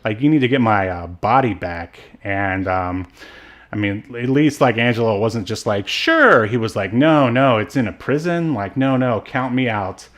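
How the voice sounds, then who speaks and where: A man's voice: fairly low pitch, lively intonation, moderate pace, a bit bright. A man co-hosting a podcast, chatting casually about film in an informal, rambling way that comes with ready laughter. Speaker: podcast co-host. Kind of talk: casual film discussion chat.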